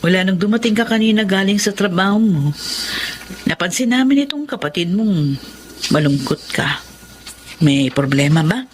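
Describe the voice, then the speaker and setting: A woman's voice: mid-range voice, expressive, normal speed, pauses often, a little hiss or hum, lightly acted. An actress playing a caring middle-aged mother in a Filipino radio drama, solicitous and probing. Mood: concerned.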